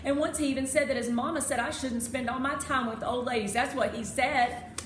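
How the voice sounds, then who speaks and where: Lady doing a stubborn curious little girl from a storybook, higher voice, echoey room, noisy recording. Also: steady tone, fairly quick, natural voice. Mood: defensive, indignant. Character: young girl, earnest, stubborn, curious preacher's daughter. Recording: echoey room with clear background noise.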